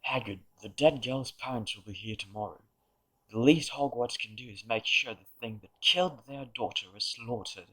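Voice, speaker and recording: A man's voice: medium pitch, moderate pace, bright, forceful tone, husky, poor audio. A man playing a polite, cold, controlled teenage wizard in an audio drama, speaking formally. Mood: stern, cold.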